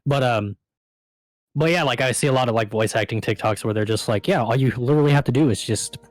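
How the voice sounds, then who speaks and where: A man's voice: mid-range voice, fast talker, no echo, low-quality recording. A man chatting casually into a close microphone on a stream, informal and rambling, with some swearing. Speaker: co-host of a live stream chat show. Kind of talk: casual chat. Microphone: close desktop microphone over voice chat.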